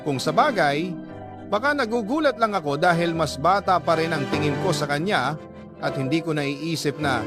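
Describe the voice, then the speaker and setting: A man's voice: a little high, lightly acted. An actor with a Filipino accent playing a lovestruck single father, narrating his hopeful thoughts in a reflective, sentimental radio drama style. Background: instrumental music.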